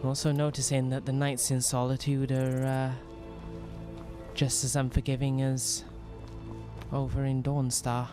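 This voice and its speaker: A young Welshman with a lightly played-down Welsh accent chats as he streams a fantasy game, slipping between his character and his viewers. He rambles and restarts his sentences with self-mocking humor, at a middle pitch. Faint game music sits under him. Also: completely flat delivery, measured pace, pauses often. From Wales. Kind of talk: casual in-character role-play commentary.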